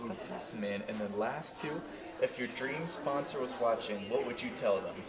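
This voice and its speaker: A man interviewing a competitor in relaxed, friendly fashion, reading out questions and giving short reactions. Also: mid-range voice, moderate pace, crowd noise. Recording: loud music playing behind.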